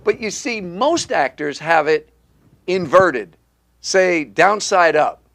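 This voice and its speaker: Man, acting coach, slightly high voice, punchy repetitive delivery, live class in a studio. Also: lively intonation, takes it slowly, creaky, fairly bright tone. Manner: emphatic, repetitive, punchy call-and-response teaching.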